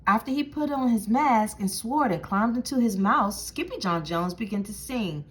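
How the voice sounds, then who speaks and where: A woman reading a children's picture book aloud in an expressive, deliberate storytelling manner. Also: fairly high pitch, a little hiss or hum. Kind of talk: animated picture-book read-aloud narration.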